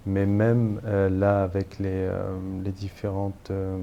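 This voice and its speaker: A man in his thirties from northern France speaks in a low, mellow voice as an interview guest. He pauses often, restarting sentences and filling gaps. A faint hiss sits under the recording. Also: monotone, lo-fi sound. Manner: hesitant, many fillers and restarts. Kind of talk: interview, personal story.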